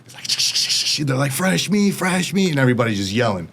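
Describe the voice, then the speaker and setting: A younger man from the United States, telling his story as an interview guest in fast, animated casual talk, slipping in quoted voices. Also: mid-range voice, gravelly, husky.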